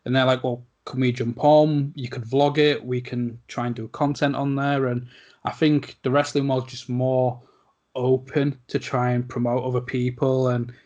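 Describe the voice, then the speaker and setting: Chatty man interviewer, mid-pitched. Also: level tone, moderate pace, darkish tone, dull audio. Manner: chatty, jokey, with repeated "like". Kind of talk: casual podcast interview.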